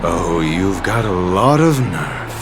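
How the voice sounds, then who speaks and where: Haughty, slow-speaking scholar. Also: male voice, sits low, expressive, exaggerated voice. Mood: cold, mocking. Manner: condescending, scornful, precise. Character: haughty, coldly sarcastic scholar, a scornful man. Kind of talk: video game voice acting. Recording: music behind the voice. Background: game music.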